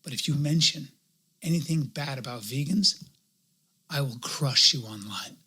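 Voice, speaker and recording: A man's voice: medium pitch, a little slow, pushed and bright, husky. A man voicing a frail, meek but spiteful vegan diner in a prissy, passive-aggressive comedy sketch.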